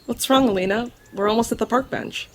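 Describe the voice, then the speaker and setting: A woman voicing a husky, gentle talking dog. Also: a little high, brisk, lightly acted. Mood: calm. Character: loyal, gentle talking dog living as a pet. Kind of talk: audio drama acting.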